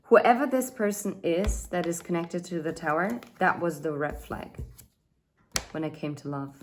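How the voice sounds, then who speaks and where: Woman, thirties, low-pitched, slow, rambling tarot reading. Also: slight background noise. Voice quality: says she has a loud voice. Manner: chatty, thinks aloud with fillers and asides. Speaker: tarot reader. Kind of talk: casual tarot reading monologue.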